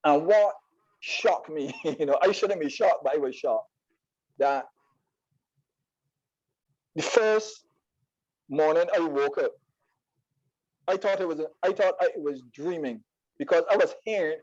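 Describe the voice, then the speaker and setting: A man with a Barbadian accent speaking as a guest poet in an interview, explaining in a halting way and often repeating words. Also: a little high, darkish tone, lo-fi sound.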